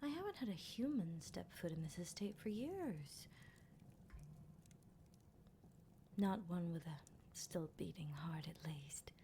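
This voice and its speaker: Woman voicing a playful menacing vampire lady, breathy half-whisper, sardonic, unhurried ASMR roleplay, clear background ambience. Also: lowish voice, lively intonation, warm tone, a little hiss or hum. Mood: teasing menace. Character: playful, menacing female vampire captor, decades old.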